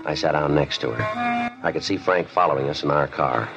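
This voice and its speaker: A man's voice: a bass voice, moderate pace, over music. A man playing a police detective in a 1950s radio drama, clipped and matter-of-fact. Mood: narrating. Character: terse male police detective, flat and businesslike. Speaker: police officer narrator. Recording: dull lo-fi old radio recording, clear hiss.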